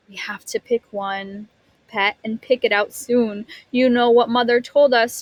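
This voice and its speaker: A woman's voice: a little high, no echo. A woman teacher reading a picture book aloud to young children, expressively, stretching words and putting questions to her listeners.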